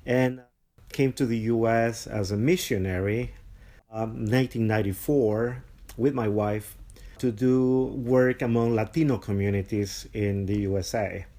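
A man from Honduras, a mission program director, explaining his work in a measured way on a podcast interview. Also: fairly low pitch, fairly even intonation, warm tone.

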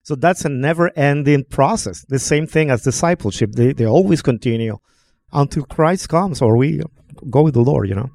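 A man's voice: medium pitch, expressive, moderate pace, creaky, a little mellow, no echo. A man from Honduras, a guest on a church podcast who talks reflectively and often checks in with "right?". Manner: reflective, peppers talk with "right?". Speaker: guest speaker. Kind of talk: conversational podcast discussion.

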